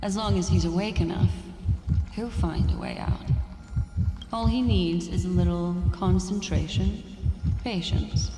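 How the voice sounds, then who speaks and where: An actress playing a composed, enigmatic woman in a video game, calm, deliberate and knowing. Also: fairly low pitch, noisy background, lightly acted. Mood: reassuring. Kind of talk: dramatic video game voice acting. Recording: game dialogue over clear background music.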